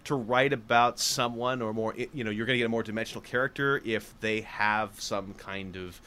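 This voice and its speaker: A man's voice: lowish voice, fairly even intonation, brisk, sharp tone, slight background noise. A man hosting an interview, asking long questions that wander and hedge before reaching the point. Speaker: interviewer host.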